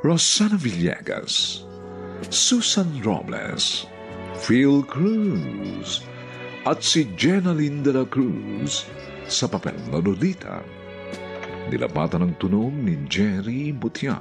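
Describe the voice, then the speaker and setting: A man's voice: mid-range voice, wildly swooping pitch, slow, vocal fry. A man announcing a Filipino radio drama in a dramatic scripted style. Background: music under the voice.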